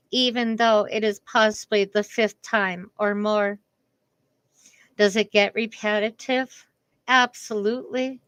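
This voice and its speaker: A middle-aged woman talking slowly and earnestly about her own experience, with careful pauses and a slightly strained voice. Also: fairly high pitch, bright, forceful tone, dry recording, poor audio. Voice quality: voice strained after a vocal cord paralysis. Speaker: speaker giving a message on communication. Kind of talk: slow reflective personal talk.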